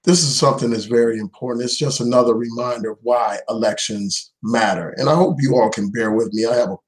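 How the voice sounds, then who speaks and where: A man with a hoarse voice from a cold, hosting a live stream and giving earnest, emphatic political commentary. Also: medium pitch, fairly quick. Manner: earnest, emphatic, speaks out without fear.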